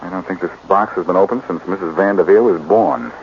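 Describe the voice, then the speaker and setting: An actor playing a breezy, wisecracking amateur sleuth with an American accent in a 1940s radio detective drama, with a flippant, teasing manner. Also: male voice, low-pitched, fairly quick. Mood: playful. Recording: dull, noisy 1940s radio recording.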